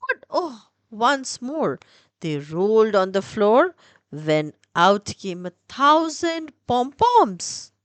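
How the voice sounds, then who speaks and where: A woman narrating a rhyming children's story in an expressive, theatrical and deliberate way. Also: fairly high pitch, no echo.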